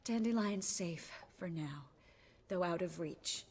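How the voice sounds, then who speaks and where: An actress playing a resolute, weary young sorceress who has been wounded, in a video game. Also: female voice, fairly low pitch, animated, slow, a little mellow, breathy edge, heavily acted. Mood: regretful.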